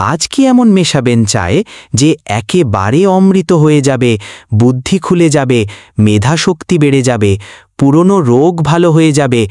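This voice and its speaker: A man's voice: fairly high pitch, a little mellow, no room sound, studio quality. A man voicing a skeptical village tea customer in a cartoon, with a questioning, doubting manner.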